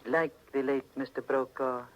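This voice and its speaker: Man acting gaunt sinister collector, medium pitch, formal, measured, ominously polite, 1940s radio drama. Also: fairly even intonation, highs cut off, natural voice. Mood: suave menace. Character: gaunt, courteous, menacing Eastern collector.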